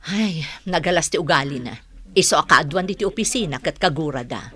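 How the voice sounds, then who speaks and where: A woman playing a stern older co-worker in a radio drama, admonishing and forceful in manner. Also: low voice, lively intonation, a little fast. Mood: disapproving. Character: stern older female co-worker, scolding and plain-spoken. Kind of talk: Philippine radio drama acting.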